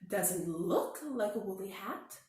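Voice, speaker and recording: A woman's voice: mid-range voice, animated, takes it slowly, a little mellow, recorded from a distance, slight background noise. A woman voicing a chirpy female bird who talks in a breezy, dismissive way. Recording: very echoey room.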